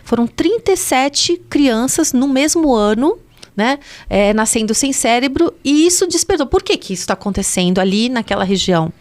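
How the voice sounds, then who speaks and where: A woman from the Baixada Santista region speaks as an interview guest. Her voice sits a touch higher than average. She explains things patiently and in order, giving background for listeners who may not know it. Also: expressive, a little mellow. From Baixada Santista, Brazil. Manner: measured, explanatory. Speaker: interview guest, local public servant.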